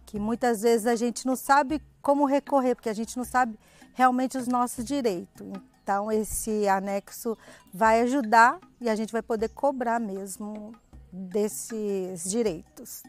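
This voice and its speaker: A Brazilian woman giving interview testimony as a member of her community. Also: on the high side.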